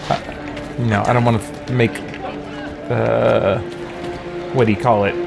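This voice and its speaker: A man's voice: medium pitch, level tone, takes it slowly, gravelly, music in the background. A man doing live roleplay commentary on a video game, switching between theatrical interjections and hesitant asides. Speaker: game streamer.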